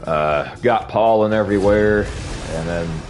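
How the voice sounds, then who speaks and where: A man's voice: fairly low pitch, measured pace, a little mellow, faint music behind. A young man streaming while gaming, ranting casually to his chat. He rambles, repeats himself, says bro a lot, and sounds sniffly from allergies.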